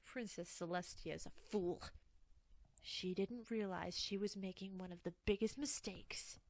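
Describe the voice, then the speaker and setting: A woman voicing a scheming young female pony villain in a fan audio drama, with a hushed, gravelly voice, heard faintly from afar. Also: medium pitch, fairly even intonation, measured pace, lightly acted.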